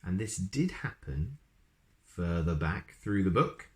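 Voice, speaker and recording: A man reading a children's story aloud in an expressive way, with explanatory asides to young viewers. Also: sits low, takes it slowly. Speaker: storybook reader.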